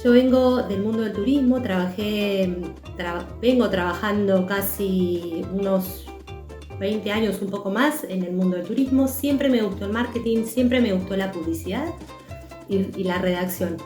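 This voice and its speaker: A woman's voice: medium pitch, measured pace, dark tone. A woman, a copywriter speaking casually in a recorded testimonial. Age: 40s. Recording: roomy room with guitar music.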